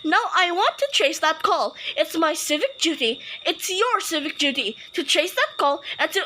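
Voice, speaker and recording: Radio drama lead: actress as fretful, imperious invalid housewife, piercingly high, bright delivery. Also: female voice, very animated, normal speed, a little hiss or hum, exaggerated voice. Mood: indignant, insistent. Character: anxious, imperious, sickly housewife alone at night. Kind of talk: radio play acting.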